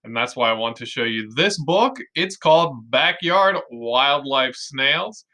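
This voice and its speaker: A male kindergarten teacher reads aloud to young children in a bright, forward voice. He takes his time, stressing key words and explaining things simply. His delivery is expressive and full of guiding questions. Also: medium pitch, a little slow.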